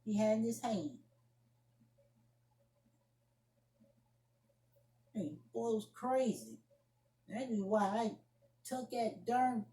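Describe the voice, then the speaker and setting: A woman acting an indignant young woman in a solo amateur monologue, scolding and defending herself emphatically with repetitions and halting restarts. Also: fairly high pitch, dark tone, close to an everyday voice. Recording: echoey room.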